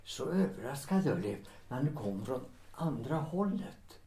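A man's voice: mid-range voice, sing-song, mellow, lightly acted. A man playing an awkward, deferential chauffeur in a 1930s audio drama, with hesitant, stammering politeness. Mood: uneasy. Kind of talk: Swedish audio drama acting. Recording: roomy, echoing interior sound.

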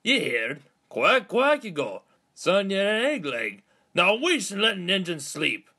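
Man voicing a bright, high-voiced teasing engine. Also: huge pitch swings, measured pace, full character voice. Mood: sleepy mockery. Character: sleepy, teasing Scottish male steam engine.

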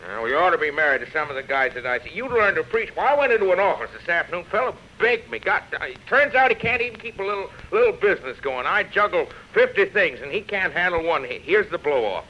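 A fast-talking, blustering tycoon. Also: male voice, high voice, sing-song, sharp tone, exaggerated voice. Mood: boastful. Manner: blustering, slangy, barking. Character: brash, boastful, bullying self-made businessman. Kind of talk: 1930s radio drama acting. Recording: dull, noisy old radio recording.

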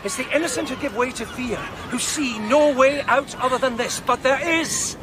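An actor playing a disgraced former policeman in a radio play, earnest, halting and persuasive. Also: very high voice. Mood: urgent, reassuring. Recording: outdoor scene with clear background noise.

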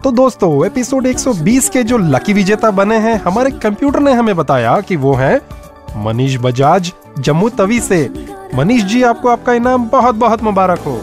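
High-pitched male radio announcer. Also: animated, normal speed, faint music behind. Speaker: radio co-presenter announcing winners.

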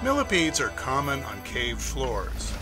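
A man's voice: medium pitch, wildly swooping pitch, measured pace. A man narrating a nature documentary in an informative, lightly wry way. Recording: narration over loud music. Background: loud music.

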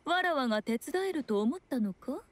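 A woman playing a poised, sly fox shrine maiden in an anime game, speaking in an unhurried, teasing, old-fashioned lofty manner. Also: high voice, expressive, a little hiss or hum. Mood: teasing, knowing.

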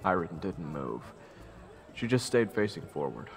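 A man's voice: deep voice, very animated, unhurried, gravelly, a little hiss or hum, heavily acted. A man playing a young fantasy hero who narrates his adventure reflectively in the first person.

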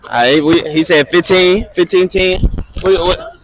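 A man's voice: high voice, a little slow, poor audio. A man joking and teasing other players at an outdoor pickup basketball game. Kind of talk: banter on the court.

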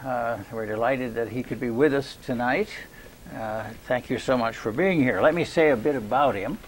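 An older man hosting a local TV interview in a courteous, halting manner. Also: mid-range voice. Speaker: interview host. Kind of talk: local TV interview, unhurried introduction and questions. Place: small cable TV studio. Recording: noisy 2001 VHS studio recording.

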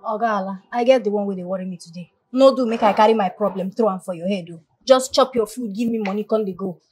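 An actress with a Nigerian accent playing a sharp-tongued young shop attendant who scolds and dismisses a pushy customer. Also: female voice, mid-range voice, slightly rough, soft background music, natural voice. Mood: dismissive. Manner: brash, scolding, quick retorts. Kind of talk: comedy skit acting.

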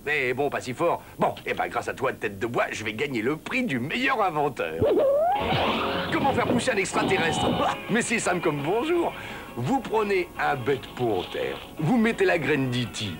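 A man's voice: on the high side, very animated, moderate pace, over music. A man voicing a brash, boastful big pig inventor in a cartoon dub.

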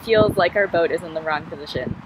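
A woman's voice: fairly low pitch, a little fast, noisy background. A woman vlogging from a sailboat, explaining things step by step as she thinks aloud. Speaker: sailing vlogger. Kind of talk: casual on-board explanation and narration. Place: on a sailboat deck on a windy river.

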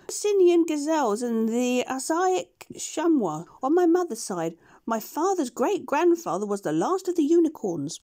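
A woman's voice: high voice, sing-song, pitched above the performer's own voice. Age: adult. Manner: a woman voicing a shy, polite two-headed creature. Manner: gentle, timid, slow and careful.

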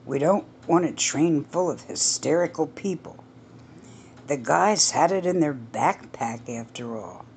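A woman voicing a calm husband who talks slowly and soothingly to his frightened wife. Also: sits low, slight background noise.

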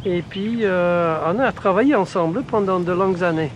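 Older Englishman, high-pitched voice. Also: moderate pace, a little hiss or hum. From England. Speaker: retired ornithologist. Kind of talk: casual documentary interview.

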